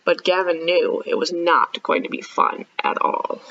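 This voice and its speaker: A woman hosts a read-aloud for young children. She speaks at an unhurried pace and addresses the kids directly, often inviting answers. The sound is a little dull and lo-fi. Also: lowish voice, level tone, bright, forceful tone. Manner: child-directed, asking questions and pausing for answers. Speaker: children's librarian hosting storytime.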